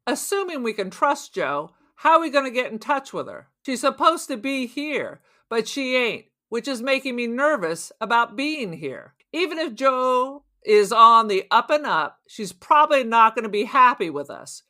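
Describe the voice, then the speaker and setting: Crime audio drama: actress to play nervy, suspicious woman robber, sarcastic and slangy, sharp bright delivery, higher register. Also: very animated, moderate pace, few pauses. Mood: nervous, pragmatic. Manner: sarcastic, argumentative, streetwise slangy talk. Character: nervy, suspicious, cynical female robber on the run.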